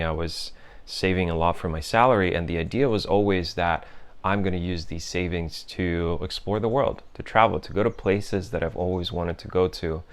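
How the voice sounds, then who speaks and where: A young man telling his life story in a thoughtful, unhurried way, with many fillers and restarts. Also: deep voice, smooth. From Europe, raised in several countries. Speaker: host. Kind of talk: reflective personal monologue.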